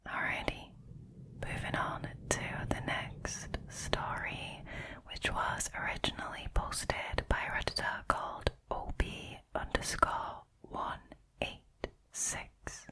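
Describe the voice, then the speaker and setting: A woman narrating stories in an ASMR style, entirely in a whisper, with deliberate, unhurried phrasing. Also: pushed and bright. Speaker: narrator reading online stories. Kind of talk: whispered ASMR story reading.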